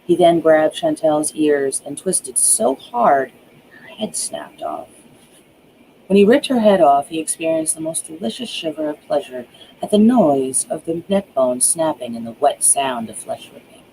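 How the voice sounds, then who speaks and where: A narrator reads a dark thriller in a dramatic audiobook style. The voice is mellow and full of vocal fry, leaning on key words with hushed, drawn-out emphasis. Some background noise sits under the homemade recording. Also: mid-range voice, sing-song, rough recording. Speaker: author reading own book aloud.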